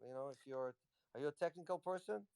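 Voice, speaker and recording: Smooth-voiced man rambling through answers. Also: a little high, animated, fast, a little mellow, no room sound. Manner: rambling, loose, lots of "you know". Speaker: company executive answering questions. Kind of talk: casual Q&A on a live audio chat.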